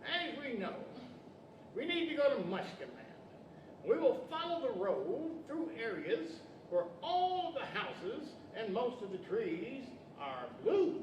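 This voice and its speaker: A man playing a pompous, theatrical wizard who explains things deliberately in an old radio-play style. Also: very high voice, sing-song, heavily acted. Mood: explaining. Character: male wizard of Oz, fussy, theatrical, learned magician. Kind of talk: 1940s radio-style stage acting. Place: library stage, microphones on stands. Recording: echoey hall from far off, noisy.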